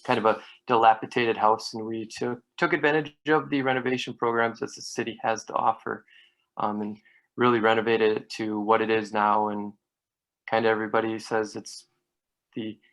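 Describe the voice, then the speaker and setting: Guy running for council introducing himself, mid pitch, plain talk, conversational. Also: a bit bright, rough recording. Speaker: city council candidate. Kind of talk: informal campaign introduction.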